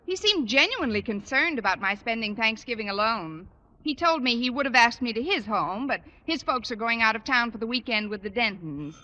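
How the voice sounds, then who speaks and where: An American actress playing a wry, quick-witted single English teacher in a 1950s radio comedy, with dry, wisecracking delivery. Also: female voice, fairly high pitch, animated, pushed and bright. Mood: wry, chatty. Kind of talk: 1950s radio sitcom acting. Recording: muffled old radio recording, hissy, with clicks.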